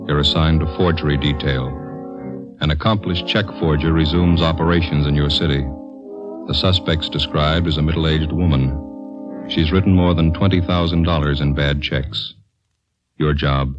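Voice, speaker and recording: An American man narrates a vintage radio drama in short, dramatic sentences. His voice is extremely low. Music plays behind him on a dull old recording. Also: fairly even intonation, normal speed. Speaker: program narrator.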